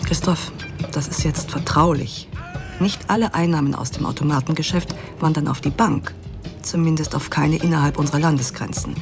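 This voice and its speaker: A woman's voice: low voice, moderate pace. A woman playing a bossy, exacting lawyer in a German radio drama, with a commanding, withering delivery. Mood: businesslike. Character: bossy, exacting female lawyer, brisk and cutting. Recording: music behind the voice. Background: music.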